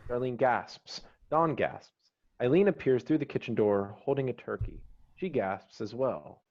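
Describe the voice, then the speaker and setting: A man's voice: medium pitch, measured pace, a little mellow. Man narrating screenplay, slightly muffled. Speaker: stage-directions narrator. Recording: dull, slightly muffled online call audio.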